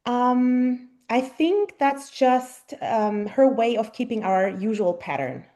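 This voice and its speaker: A German-born woman in her thirties, a native German speaker, answering interview questions thoughtfully with frequent pauses and ums. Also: on the high side, animated, dark tone. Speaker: guest mother. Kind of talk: casual interview conversation.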